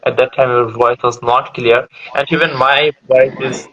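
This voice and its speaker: A man's voice: mid-range voice, steady tone, moderate pace, pushed and bright, lo-fi sound, slight background noise. A man with a Bangladeshi English accent, a Bengali speaker, chatting as a guest on a live stream. He talks hesitantly, with many fillers and restarts. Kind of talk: casual chat on a live stream. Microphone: online live stream call.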